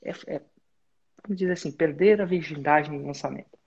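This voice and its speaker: A man's voice: a little high, a little fast, creaky, a little mellow, muffled recording, a little hiss or hum. A man hosting a live coaching session, giving direct, instructive advice to students. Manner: direct, instructive, uses sports-belt metaphors. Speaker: business mentor and host.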